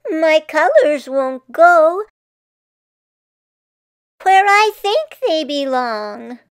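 Woman reading as a very slow, high-voiced, earnest tree. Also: dry recording, studio quality, full character voice. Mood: frustrated. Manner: slow, deliberate, expressive rhymed storybook reading. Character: earnest female tree, exasperated by her misbehaving leaves. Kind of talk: children's picture book read-aloud.

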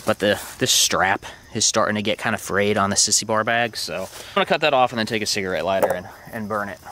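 A man's voice: lowish voice, slight background noise. A young man talking casually and ramblingly as he shows his camping gear outdoors, with lots of fillers. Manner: relaxed and rambling, with many fillers. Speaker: motorcycle vlogger. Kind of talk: casual show-and-tell narration. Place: outdoors at a campsite.

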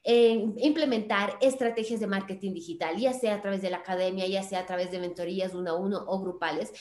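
A woman's voice: medium pitch, fairly even intonation, quick pace, darkish tone, a little hiss or hum. A woman in her thirties hosting a podcast, chatty and effusive as she addresses her listeners in an upbeat presenting style. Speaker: podcast host. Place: small indoor room, on a video call. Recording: roomy, echoing room sound.

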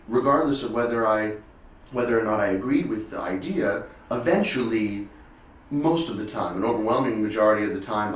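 A man in his twenties, a musician explaining things earnestly and conversationally in an interview, often restarting phrases. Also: on the low side. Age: 20s. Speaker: concert cellist, interviewee. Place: elegant indoor sitting room. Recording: roomy, distant mic, faint noise.

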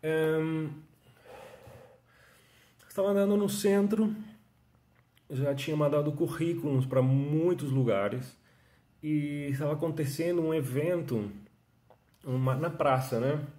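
Venezuelan-accented man, 30s, relaxed mellow tone, chatty self-interview vlog, echoey home room. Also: on the high side, slow pace, pauses often. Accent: Venezuelan Spanish. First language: Spanish. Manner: chatty, restarts often, frequent tag questions. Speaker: freelance artist telling his story. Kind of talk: casual self-interview monologue. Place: small room at home, indoors. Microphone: phone camera at arm's length.

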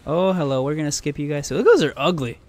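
A man streaming live and joking through a game, his voice cracking and going hoarse, on a headset mic. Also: on the high side, expressive, a little mellow. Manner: joking, sarcastic, quick asides, giggly. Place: home streaming room, indoors. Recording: game music in background.

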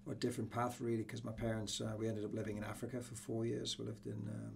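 A middle-aged English man talks casually as a podcast guest. His voice is gravelly and fairly even in pitch, with hesitant phrasing. A faint hum sits behind him in a small room. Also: fast. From Newcastle, England. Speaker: soccer coach, interview guest. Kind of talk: casual reminiscing in a podcast interview. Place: small indoor room with acoustic panels. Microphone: podcast boom microphone.

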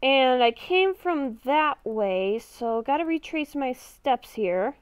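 A woman as a high-voiced, lost hybrid werewolf girl. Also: animated, smooth, no echo. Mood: lost, puzzled. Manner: halting, thinking aloud, dramatic. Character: confused young werewolf-vampire hybrid girl, lost and exasperated. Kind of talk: improvised Minecraft roleplay narration while playing.

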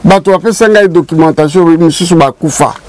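A man's voice: fairly high pitch, fairly quick, a little hiss or hum. Older Congolese man telling community history. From Congo Kinshasa. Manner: emphatic, mixes French and Lingala. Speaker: show guest and narrator of community history. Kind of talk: casual studio chat, storytelling. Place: small indoor studio, shop-like room.

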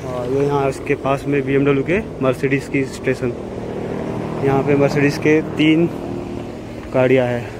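A man talks casually as he walks around an outdoor car show with his phone camera. His voice stays level, with little rise and fall. Steady crowd and outdoor noise runs under everything he says. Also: mid-range voice, normal speed, pauses often. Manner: relaxed, plain commentary while walking. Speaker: vlogger. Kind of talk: casual walkaround narration. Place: outdoor car expo on a lawn. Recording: noisy outdoor phone recording.

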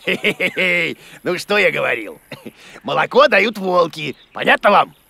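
High, swooping, blustering cartoon creature. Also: male voice, lively intonation, measured pace, gravelly, pushed and bright, a little hiss or hum, full character voice. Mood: boastful. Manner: self-important, exclamatory, theatrical. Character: blustering, boastful, clueless bearded creature teacher. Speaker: cartoon teacher. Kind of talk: animated children's cartoon voice acting.

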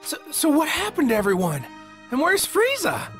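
A woman's voice: sits high, wildly swooping pitch, moderate pace, soft background music. A woman voicing an earnest, naive, boyish male martial arts hero in a video game. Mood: dismayed, puzzled.

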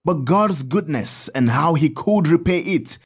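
Kindly priest, lively intonation, slightly high voice, measured teaching, audio drama, music underneath. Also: male voice, husky, heavily acted. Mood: reverent, storytelling. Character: kindly priest, Father Tad, teaching children about saints. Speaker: priest character telling saints' stories.

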